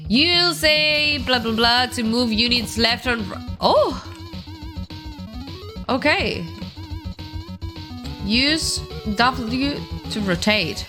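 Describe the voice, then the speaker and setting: A woman with a cold hosting a let's-play, chatting casually and thinking aloud as she plays. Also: on the high side. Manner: chatty, thinks aloud while playing, hums along. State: has a cold. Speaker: gaming video host. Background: game music.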